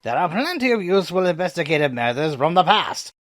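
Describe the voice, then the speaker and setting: A man voicing an excitable, insistent old former superhero's sidekick in a cartoon comic dub, urgent and dramatic. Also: fairly high pitch, fast talker, no room sound, clean studio sound. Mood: indignant. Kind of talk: acted comic dub dialogue. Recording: music behind the voice.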